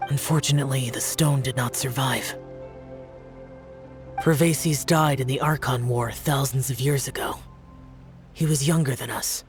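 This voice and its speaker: Guy as a stoic sad immortal warrior in a game, measured n brooding, mid voice, faint music. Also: mid-range voice, natural voice. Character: somber, stoic immortal male warrior, reserved and burdened. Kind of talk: dramatic video game monologue.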